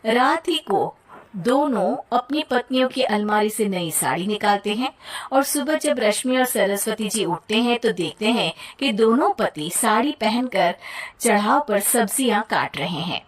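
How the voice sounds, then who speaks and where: A woman narrating a cartoon moral story in a plain, even storytelling manner. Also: mid-range voice, unhurried.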